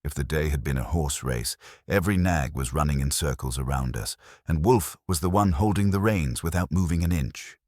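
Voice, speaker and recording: A man's voice: a bass voice, normal speed, hardly any pauses, darkish tone, dry recording, studio quality. A man narrating a scripted first-person detective story in a wry, hard-boiled manner. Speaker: audiobook narrator.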